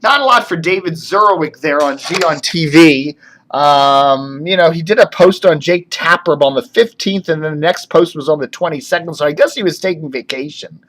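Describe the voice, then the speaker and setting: American male vlogger, slightly high voice, loose off-the-cuff media commentary, wry edge. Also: normal speed, fairly bright tone. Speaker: video blogger and media commentator. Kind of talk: casual rambling commentary.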